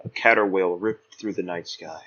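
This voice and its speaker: A man's voice: deep voice, moderate pace, a bit bright, highs cut off. A man narrating a scripted fantasy audio drama in a portentous, theatrical manner.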